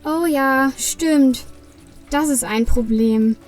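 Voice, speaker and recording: A woman's voice: high voice, slow, distant mic, soft background music. A woman playing a concerned, busy little Christmas elf in a children's audio play. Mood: worried.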